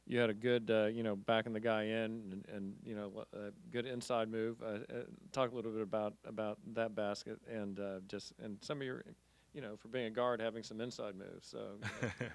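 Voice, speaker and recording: A man asking questions as a sports reporter, in halting, repetitive, loosely built phrases. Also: lowish voice, flat delivery. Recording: faint background noise and clicks.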